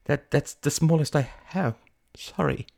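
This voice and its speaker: A man's voice: a little high, huge pitch swings, takes it slowly, vocal fry, darkish tone, breathy edge, pitched above the performer's own voice, full character voice. A man voicing a naive, earnest young woman in an audiobook, hesitant and polite in manner. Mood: apologetic, grateful. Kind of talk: audiobook character voice.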